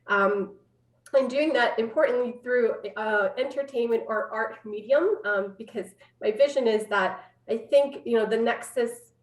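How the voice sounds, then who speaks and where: A woman's voice: a little high, unhurried. A woman in her thirties with a Canadian accent, explaining her work conversationally as an interview guest over a video call from home. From Canada, born in Afghanistan. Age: 30s. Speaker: interview guest, public health scientist. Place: room at home. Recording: roomy, distant video-call microphone.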